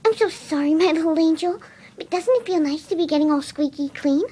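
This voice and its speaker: A woman voicing a timid, kind-hearted cartoon pegasus pony who speaks in a soft, gentle, coaxing way. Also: high voice, fairly quick, close to an everyday voice. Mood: tender, cheering.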